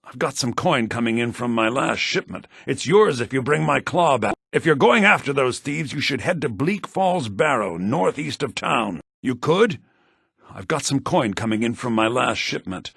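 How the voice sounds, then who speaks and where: An actor playing a fussy, fretful shopkeeper in a fantasy video game, with a flustered, earnest manner. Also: male voice, mid-range voice, expressive, normal speed, gravelly, fairly bright tone, husky, dry recording, studio quality, full character voice. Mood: earnest, worried.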